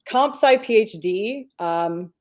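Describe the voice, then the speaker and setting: A woman's voice: mid-range voice, expressive, slow pace. A woman from Romania, a podcast panelist, telling a story in a casual panel discussion and acting out family dialogue.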